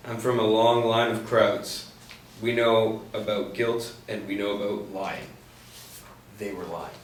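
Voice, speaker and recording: A man's voice: lowish voice, steady tone, a little slow, close to an everyday voice. An actor reading a laconic, skeptical young policeman in a screenplay table read, terse and understated. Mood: knowing. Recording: echoey, distant mic, faint noise.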